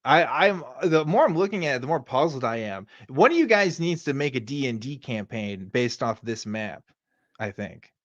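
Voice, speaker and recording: A man's voice: medium pitch, very animated, moderate pace, dry recording, lo-fi sound. A man co-hosting a reaction show with casual, slangy, sweary commentary.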